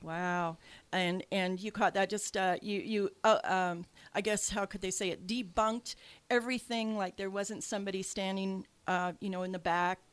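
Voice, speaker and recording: A woman's voice: medium pitch, fairly even intonation, normal speed, clear, resonant tone, no echo. A woman with a Canadian accent hosting a radio talk show as a psychic medium, talking casually with lots of fillers and restarts into a studio mic. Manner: chatty, many fillers and restarts.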